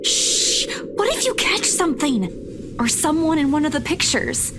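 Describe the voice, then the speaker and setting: A woman's voice: high-pitched, big pitch swings, measured pace, background noise. An actress playing a polite, easily spooked young woman in a visual novel, hesitant and apologetic.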